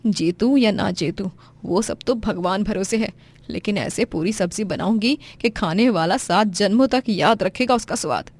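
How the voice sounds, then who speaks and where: A woman playing a gentle, patient, self-sacrificing poor mother, earnest and sentimental, in a moral story. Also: medium pitch, normal speed, close to an everyday voice. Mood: hopeful. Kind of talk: dramatised Hindi moral story.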